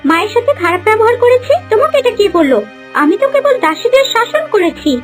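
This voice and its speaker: A woman plays a young princess bride, haughty and scheming. The voice is very high and imperious, in fairy-tale cartoon style. Soft music sits behind it. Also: very animated, fast. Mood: defiant.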